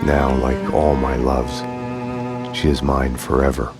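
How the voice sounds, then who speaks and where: A very deep, brooding noir detective. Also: male voice, completely flat delivery, slow pace, full character voice. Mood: haunted, reflective. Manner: grave, brooding, terse monologue. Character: brooding, world-weary male detective, fatalistic and wounded. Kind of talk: noir scripted narration. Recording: loud music bed behind.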